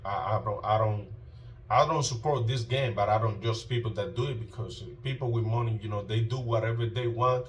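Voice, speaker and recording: Colombian guy youtuber chatting casually, kinda deep voice, slangy, says you know a lot, mic sounds a bit far. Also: fairly quick, a little hiss or hum. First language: Spanish. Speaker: YouTube host. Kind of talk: casual informal vlog talk.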